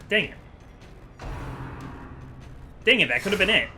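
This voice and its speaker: A man's voice: high voice, very animated, fast, sharp tone, a little hiss or hum. A man giving casual, rambling commentary as the host of a gaming video, chatty and digressive as he thinks aloud.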